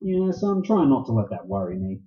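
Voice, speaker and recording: A man's voice: mid-range voice, sing-song, brisk, lightly acted. Man playing Sam Spade, a swinging, cocky detective voice. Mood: hard, insistent. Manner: brusque, clipped, sardonic. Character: tough, cocky, wisecracking male private detective. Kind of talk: staged radio play acting, 1940s noir. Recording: roomy, dull live stage recording.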